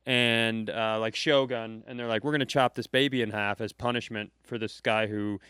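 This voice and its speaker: Guy on a live comedy podcast, smooth clear voice, rambly teasing questions, dry sound. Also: medium pitch, steady tone, normal speed, bright, forceful tone, no room sound. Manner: rambling, playfully needling questions. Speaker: podcast host. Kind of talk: casual comedic chat.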